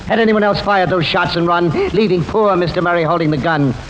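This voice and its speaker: An actor playing a scornful, theatrical prosecutor who declaims with sarcastic rhetoric in old-time radio style. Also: male voice, high-pitched, fairly even intonation, brisk. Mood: scornful, triumphant. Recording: noisy old radio recording.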